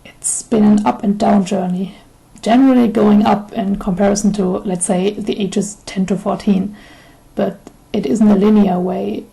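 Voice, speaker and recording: Gravelly, dark-toned young German woman. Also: mid-range voice, low-quality recording. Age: 20s. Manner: earnest, reflective, measured. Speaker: vlogger. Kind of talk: personal vlog monologue.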